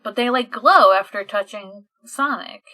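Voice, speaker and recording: A woman chatting casually as a podcast co-host, animated and swearing freely as she recaps. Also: a little high, a little slow. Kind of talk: casual chat, recapping a fan comic.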